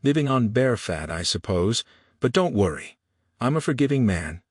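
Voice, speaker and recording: A man's voice: mid-range voice, very animated, moderate pace, breathy edge, no echo, clean studio sound. A man voicing a sneering, pompous, cowardly shopkeeper in an Old West audiobook, indignant and flustered. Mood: condescending, greedy.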